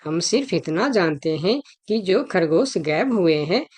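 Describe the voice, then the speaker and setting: A woman plays a worried rabbit in a children's cartoon. The delivery is earnest and appealing, with a lowish pitch and very varied intonation. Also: animated, measured pace, a little mellow. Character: worried rabbit petitioning for missing companions. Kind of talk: Hindi children's cartoon dialogue.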